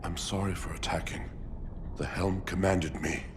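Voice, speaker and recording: An actor playing a weary, remorseful sorcerer in a video game, speaking in a solemn, subdued way. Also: male voice, low-pitched, fairly even intonation, normal speed, noisy background. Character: weary, remorseful male sorcerer giving grave warnings. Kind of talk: dramatic video game acting.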